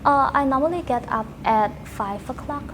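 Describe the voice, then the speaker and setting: Young cambodian lady on a podcast, kinda high voice, pauses hunting for words, bit of noise behind her. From Cambodia, Battambang province. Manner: halting, searches for words in non-native phrasing. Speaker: podcast guest, teacher and student. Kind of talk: casual interview answers.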